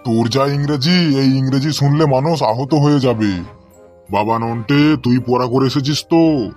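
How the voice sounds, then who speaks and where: A man's voice: medium pitch, a little slow, a little mellow, soft background music, lightly acted. A man voicing an exasperated, threatening male schoolteacher in a comic cartoon, scolding and sarcastic, with a Bengali accent.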